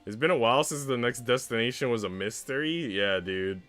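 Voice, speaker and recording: A man's voice: medium pitch, brisk, fairly bright tone, soft background music. A man live-streaming as he reads a visual novel aloud and chats casually in slangy, mildly sweary language. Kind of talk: casual live-stream chat mixed with read-aloud narration.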